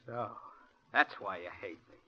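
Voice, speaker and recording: An actor playing a disturbed, paranoid young handyman who turns quietly menacing in a 1940s radio drama. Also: lowish voice, normal speed, a little hiss or hum, natural voice. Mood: bitter. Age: young adult. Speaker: unstable handyman. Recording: muffled 1940s radio broadcast.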